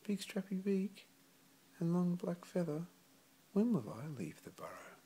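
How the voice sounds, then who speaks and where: Man voicing an eager, high-pitched little puffin chick. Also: big pitch swings, a little slow, warm tone, slightly rough, heavily acted. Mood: eager, hopeful. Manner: eager, earnest questioning. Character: small eager young male puffin chick. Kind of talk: storybook character voice.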